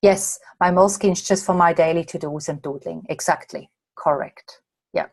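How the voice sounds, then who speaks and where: A slightly hoarse woman from Australia, a coach answering viewer questions in a chatty way during a live online masterclass late at night. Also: fairly low pitch, moderate pace, dry recording. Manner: chatty, reads out viewer comments and answers them. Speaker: coach and presenter.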